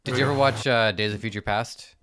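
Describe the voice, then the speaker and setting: A man on a podcast speaks in a fairly low voice. He halts and searches for his words, then slips in dry jokes. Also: steady tone, fairly quick, noisy background. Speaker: podcast co-host. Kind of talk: casual chat.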